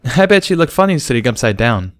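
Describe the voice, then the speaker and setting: A man's voice: mid-range voice, big pitch swings, a little fast, no echo, pitched above the performer's own voice, lightly acted. A man voicing a sweet, motherly pegasus mare with an affectionate, playfully bossy manner for a storybook. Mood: amused.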